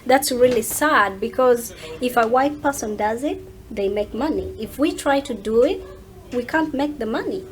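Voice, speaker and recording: A woman's voice: a little high, moderate pace. A woman chatting informally as a guest, often repeating her words as she goes. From Uganda. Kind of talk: casual chat.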